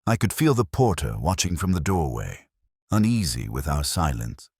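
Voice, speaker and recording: A man playing a brooding, world-weary, literary police detective, narrating his story in a measured, solemn, wry manner. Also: rumbling low, very animated, gravelly, a little mellow, husky, no echo, clean studio sound, exaggerated voice. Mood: brooding, reflective. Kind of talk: scripted first-person detective story narration.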